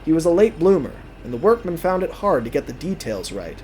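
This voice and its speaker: A man narrating a scripted audio drama as a storyteller, with theatrical, deliberate phrasing. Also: fairly high pitch, big pitch swings, dark tone, a little hiss or hum, rough recording.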